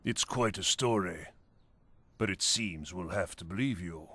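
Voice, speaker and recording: An actor playing a stern, commanding elderly general in a video game, gravelly, husky, measured and authoritative. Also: male voice, sits low, fairly bright tone, heavily acted. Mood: stern, resolute. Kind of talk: video game cutscene acting.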